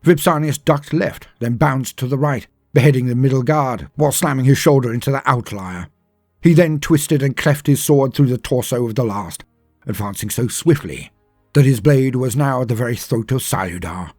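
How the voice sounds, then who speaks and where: A man's voice: mid-range voice, normal speed, darkish tone, no echo, clean studio sound, soft background music. A man narrating a scripted story in a dramatic, theatrical and emphatic manner. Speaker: storyteller and lore channel host.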